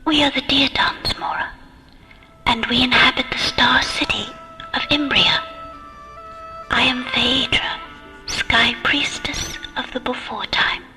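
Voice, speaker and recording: An actress playing a serene, kindly alien sky priestess with a breathy, formal, deliberate delivery in a radio drama. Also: female voice, fairly high pitch, pushed and bright, poor audio. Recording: radio drama with music underneath.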